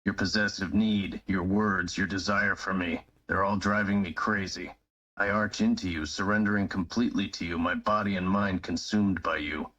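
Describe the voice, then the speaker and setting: A man playing a devoted, yielding young male lover in a live-streamed romantic roleplay reading, with slow, breathy, dramatic declarations. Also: low voice, fairly even intonation, a bit bright, muffled recording.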